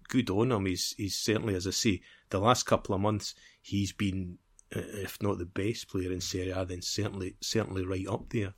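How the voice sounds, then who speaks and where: A man co-hosting a football podcast in casual chat, rambling and repeating phrases as he builds his point. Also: low-pitched.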